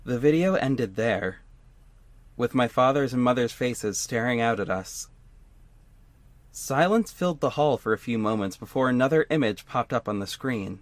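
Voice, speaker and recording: A man's voice: mid-range voice, expressive, normal speed, natural voice. A man playing an earnest young hero who narrates his own story in a conversational, scripted audio drama style. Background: music.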